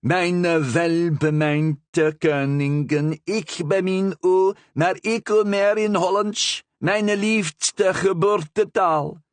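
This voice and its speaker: A man squawking as a flattering talking parrot. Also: sits high, takes it slowly, hardly any pauses, no echo, pitched above the performer's own voice. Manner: chirpy, formal compliments. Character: clever talking parrot, flattering and sing-song.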